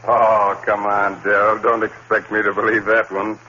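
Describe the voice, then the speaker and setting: Incredulous buddy, forceful bright voice, breezy banter, 1950s radio play, muffled old recording. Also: male voice, fairly low pitch, little pitch movement, normal speed, a little hiss or hum. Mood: incredulous, teasing. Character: skeptical, bantering male friend of the accused. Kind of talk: 1950s radio drama acting.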